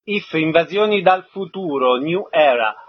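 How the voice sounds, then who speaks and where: A man's voice: on the high side, animated, a little slow, breathy edge. A man hosting a radio interview, presenting guests and asking questions. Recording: slightly dull, lo-fi radio sound.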